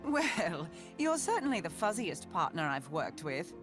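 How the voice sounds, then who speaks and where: A British actress voicing a calm, refined young police sheriff with crisp, wry delivery for a video game. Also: female voice, on the low side, measured pace, music in the background. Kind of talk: video game character voice lines.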